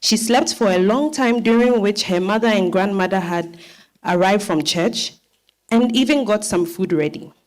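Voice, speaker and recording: A woman's voice: medium pitch, normal speed, darkish tone. A woman with a Ghanaian accent reading scripted narration for a stage play.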